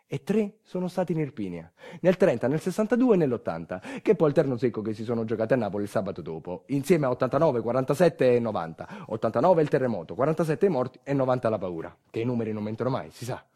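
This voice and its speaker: Animated, quick-talking man delivering a theatrical monologue. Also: mid-range voice. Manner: rhetorical, wry, with refrains and colloquial asides. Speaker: monologue stage performer.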